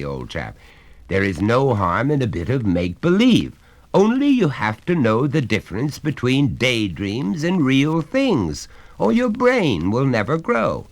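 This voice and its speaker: A man's voice: medium pitch, voice pushed up. Man doing a smug lecturing father. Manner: lecturing, coaxing. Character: confident, patronising middle-aged English father. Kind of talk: audiobook reading.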